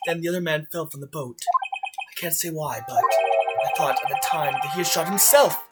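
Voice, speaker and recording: A subdued, proud young man as acted by a male fandubber speaks with grave reserve. His quiet, dramatic game-dub delivery sits under loud music. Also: fairly high pitch, moderate pace, lightly acted. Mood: grave, shaken.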